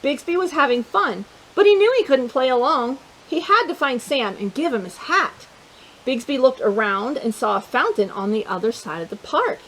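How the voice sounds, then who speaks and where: A woman hosting a children's storytime, reading a picture book aloud in an animated, playful way, with chatty asides to her young listeners. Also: high-pitched, moderate pace, slight background noise, low-quality recording.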